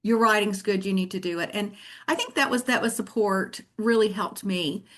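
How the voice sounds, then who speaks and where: This is a middle-aged woman with a Southern American accent, speaking as a guest in a relaxed interview. She tells her story in an earnest, reflective way. Her voice sits at an ordinary, middle pitch for a woman. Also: normal speed. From Tennessee. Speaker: guest author. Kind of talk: casual interview chat.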